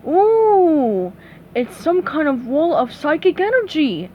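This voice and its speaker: Cartoon starfish done by a woman, male, naive, goofy, easygoing, raised pitch, animated delivery. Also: slow, lo-fi sound, a little hiss or hum, exaggerated voice. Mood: dopey, calm.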